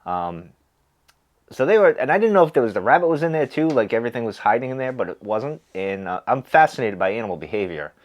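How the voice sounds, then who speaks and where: A man's voice: mid-range voice, big pitch swings, fast talker, lo-fi sound. A man with a stuffy, nasal voice, chatting casually with lots of fillers and restarts. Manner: rambling, easygoing, many fillers and restarts. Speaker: pipe smoking video host. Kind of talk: casual chat.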